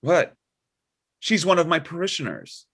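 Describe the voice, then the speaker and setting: A man playing a prim, pious older dad, high-pitched. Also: wildly swooping pitch, unhurried, fairly bright tone, slightly rough, heavily acted. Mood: breezy. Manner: sanctimonious, sugary jabs. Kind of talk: comedic script table read.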